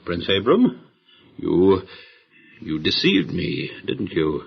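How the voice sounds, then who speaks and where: A man's voice: deep voice, huge pitch swings, slow pace, vocal fry, husky, highs cut off, full character voice. An actor playing an imperious, easily flustered Egyptian king in an audio Bible drama, theatrical and sputtering. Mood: hurt, reproachful.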